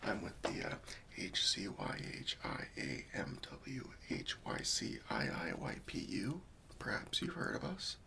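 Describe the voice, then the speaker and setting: A man playing a gentle, patient, faintly wry professional cleanup worker in a softly whispered, hesitant ASMR roleplay. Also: deep voice, huge pitch swings, a little slow, vocal fry, slight background noise, voice pushed down, exaggerated voice. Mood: polite, introductory. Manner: soft, hesitant, soothing, politely tactful.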